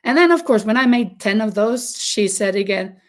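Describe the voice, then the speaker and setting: A woman with a non-native English accent chats with her viewers in a relaxed, rambling way. She laughs a lot and often pauses mid-sentence to search for the right English word. Her pitch sits in an ordinary middle range for a woman. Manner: chatty, laughs often, hunts for words. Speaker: crochet live-stream host. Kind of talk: casual live-stream chat, answering viewer comments.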